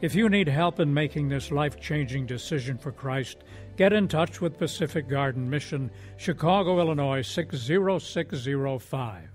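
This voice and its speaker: A man's voice: a little high, expressive, moderate pace, soft background music. A man reading a scripted evangelistic announcement as a radio announcer.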